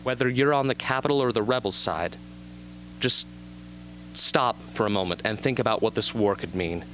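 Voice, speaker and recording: A man playing a young, haunted but earnest arena survivor with intense, deliberate delivery in an amateur radio drama. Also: on the low side, a bit bright, slight background noise, natural voice, rough recording. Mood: urgent, pleading. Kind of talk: amateur radio drama acting, interview scene.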